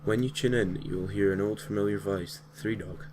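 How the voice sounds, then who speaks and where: A man's voice: fairly low pitch, fairly even intonation, normal speed, background noise. A man narrating a gaming story in an informal way, reading from a script with casual, slightly hesitant asides. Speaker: host and narrator of a gaming creepypasta series.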